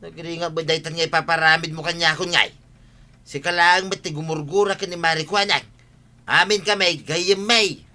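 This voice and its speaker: Bright-voiced exasperated village husband, acted by a man. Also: a little high, steady tone, normal speed. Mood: scolding. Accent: Ilocano. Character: exasperated village husband, pleading and scolding his wife. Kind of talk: Ilocano comedy radio drama acting.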